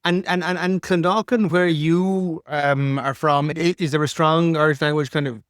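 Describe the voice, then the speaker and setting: A man's voice: fairly high pitch, fairly even intonation, normal speed, fairly bright tone, smooth, no echo, studio quality. A man hosting a podcast interview, asking eager questions with many stammered restarts. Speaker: podcast host. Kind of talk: interviewer, casual chat.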